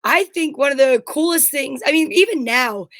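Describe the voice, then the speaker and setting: Female co-host, high-pitched, informal livestream chat, dry sound without room echo. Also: expressive, normal speed, fairly bright tone. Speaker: show co-host.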